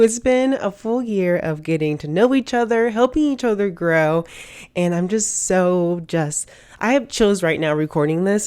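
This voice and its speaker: A woman's voice: on the low side, a little mellow, clear, resonant tone. Bubbly, congested woman podcast host. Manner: bubbly, gushing, hype-girl slang. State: congested from a cold. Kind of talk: upbeat solo podcast monologue.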